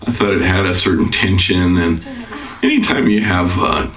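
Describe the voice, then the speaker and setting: A man's voice: low-pitched, animated, moderate pace, fairly bright tone, far from the mic. A man giving a reflective audio commentary on a film scene. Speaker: film director.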